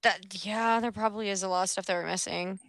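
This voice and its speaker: A woman's voice: medium pitch, fairly even intonation, fast talker, fairly bright tone. A woman chatting casually as a co-commentator, giving short, agreeable replies. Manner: agreeable, brief supportive replies. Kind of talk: casual chat.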